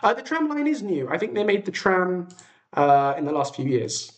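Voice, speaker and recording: A young British man talks casually to his livestream viewers. His pitch swings widely as he goes, giving an enthusiastic, whimsical feel to the chat. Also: very animated, normal speed. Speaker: livestream host.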